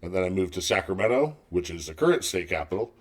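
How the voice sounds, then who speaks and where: A man's voice: low voice, sing-song, fast. A man in his forties with a Californian accent, chatting casually as a podcast guest, with dry, self-deprecating jokes. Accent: Californian American. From San Jose, California, USA. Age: 40s. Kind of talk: casual chat.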